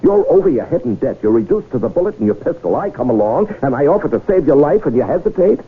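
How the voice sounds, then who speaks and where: An actor playing a smooth, pushy con man with persuasive salesman patter in a 1970s radio drama. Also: a little high, big pitch swings. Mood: pressing, scornful. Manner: fast-talking persuasive salesman patter, emphatic. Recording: dull, faintly noisy old radio recording.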